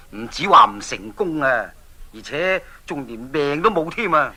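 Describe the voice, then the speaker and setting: A man's voice: fairly high pitch, expressive, a little slow, bright, forceful tone, full character voice. A man with a Hong Kong Cantonese accent playing a hearty, taunting island king in a children's audio drama. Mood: boastful, taunting.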